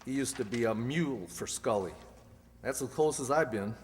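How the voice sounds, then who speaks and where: A man's voice: mid-range voice, moderate pace, slight background noise, lightly acted. Man playing a plain-spoken detective, hall reverb. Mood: earnest. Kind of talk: 1950s crime radio drama re-enactment.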